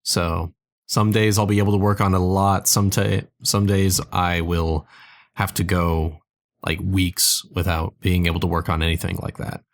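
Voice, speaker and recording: A man in his early twenties, tired with a sore throat and slight cold, chatting casually and haltingly as the narrator of a fan audio play. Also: low voice, little pitch movement, no room sound, studio quality. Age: early 20s. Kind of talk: casual, rambling outro chat.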